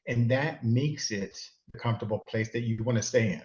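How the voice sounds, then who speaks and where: A man's voice: medium pitch, brisk, darkish tone, echoey room, lo-fi sound. A middle-aged man from California, a guest giving rambling, self-deprecating advice in a casual chat. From California, USA. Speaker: interview guest.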